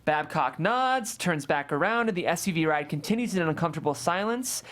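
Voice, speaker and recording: A man's voice: fairly high pitch, animated, fairly quick, a bit bright. A man reading stage directions aloud as scripted narration at a table read of a screenplay.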